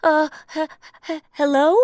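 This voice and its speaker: A woman voicing a cheerful, excitable male cartoon mouse from a storybook, one who startles easily. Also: high voice, a little slow, no echo, clean studio sound, pitched above the performer's own voice. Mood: scared.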